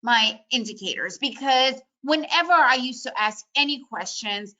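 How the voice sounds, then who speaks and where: A woman's voice: high-pitched, animated, normal speed, bright, forceful tone. A woman, a native Russian speaker who grew up in the United States, leading a trading webinar in a chatty, upbeat way with lots of "you know" and "right?". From Soviet Union, raised in the United States. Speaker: day trading educator hosting a webinar. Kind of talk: casual webinar talk.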